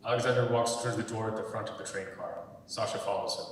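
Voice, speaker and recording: Low-voiced male narrator in echoey hall. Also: steady tone, fast talker. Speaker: stage-direction narrator. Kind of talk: scripted narration at a dramatic reading. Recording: echoey hall, far from mic, faint noise.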